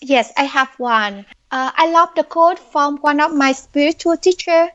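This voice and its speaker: High-voiced woman with a Vietnamese accent. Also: fairly bright tone. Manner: slow, careful, earnest speech. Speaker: guest. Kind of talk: podcast interview answers. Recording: dull lo-fi audio.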